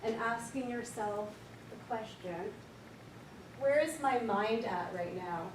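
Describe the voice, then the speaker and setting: A woman counsellor with a quiet voice leading a slow, gentle guided meditation for a workshop audience. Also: on the high side, background noise. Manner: soft, slow, gentle and unhurried. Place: large echoey room with an audience. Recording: echoey room recorded from far off.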